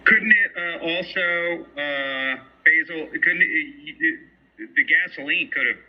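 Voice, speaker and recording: A man's voice: on the high side, slow pace. A man working as a radio interviewer, putting questions in a conversational way. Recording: dull, lo-fi radio sound.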